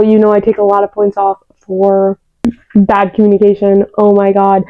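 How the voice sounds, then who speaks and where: A woman's voice: fairly high pitch, no echo, narrow, tinny audio. A young woman reviewing books in a casual, gushing vlog, often repeating words for emphasis, talking into a new microphone. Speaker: book vlogger. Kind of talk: casual chatty book review. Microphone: new external microphone.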